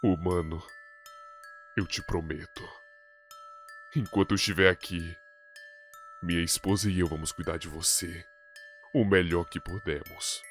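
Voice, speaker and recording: A very low-voiced old monster king, acted by a man, weary and regretful. He speaks in solemn, measured game-drama monologue, gentle beneath the gravity, with music clearly playing behind him. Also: steady tone. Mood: tender, grateful.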